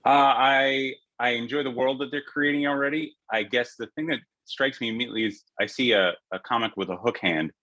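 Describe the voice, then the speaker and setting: A man's voice: medium pitch, fairly even intonation, fairly quick, hardly any pauses, bright, forceful tone, lo-fi sound. A man giving casual feedback as an actor on a reading panel.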